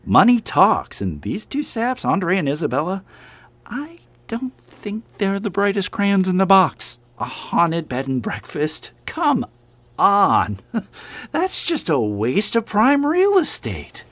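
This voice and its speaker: An actor playing a cocky, casual and slick vacationer, a dismissive schemer, in a horror audio drama. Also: male voice, sits high, huge pitch swings, slow pace, fairly bright tone, dry recording, heavily acted. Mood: scheming, smug. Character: cocky, skeptical male vacationer and scheming developer.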